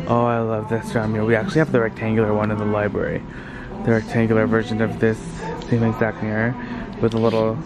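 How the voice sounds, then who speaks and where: A man's voice: lowish voice, creaky, poor audio. A man hosting a casual vlog store tour, enthusiastically praising items as he walks through a large store. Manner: enthusiastic, gushing over items. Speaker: vlog host. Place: large indoor furniture outlet store.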